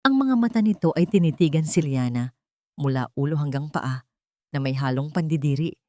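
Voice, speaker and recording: Mellow, lower-voiced woman narrating drama. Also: animated, a little fast. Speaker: story narrator. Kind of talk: dramatic scripted story narration.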